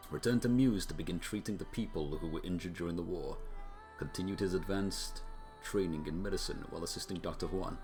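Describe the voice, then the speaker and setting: A man streaming a game, reading story text aloud with brief casual remarks of his own. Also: low-pitched. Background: music.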